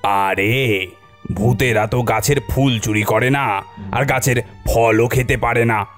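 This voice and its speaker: A man's voice: mid-range voice, fairly even intonation, slow, natural voice. A bold, easygoing middle-aged cartoon uncle, acted by a man, with an ordinary mid-range voice. He talks colloquially, scoffing and reassuring by turns, over faint music. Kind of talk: cartoon voice acting.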